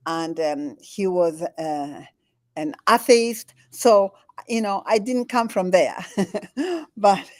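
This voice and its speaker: An older woman from Chile speaks English with a Chilean Spanish accent, her native tongue being Spanish. She talks haltingly and laughs often, restarting her sentences. Her voice sits in a middle pitch and sounds close and dry. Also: animated, a little mellow, no room sound. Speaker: guest, spiritual teacher. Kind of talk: conversational interview. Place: home in the Andes.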